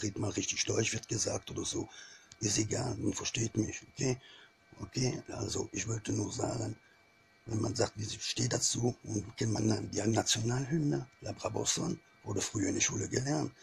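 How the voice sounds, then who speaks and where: Gravelly, insistent man. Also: lowish voice, a little hiss or hum. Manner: insistent, repetitive, mixes in French phrases. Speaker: vlogger. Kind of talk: opinionated monologue to camera.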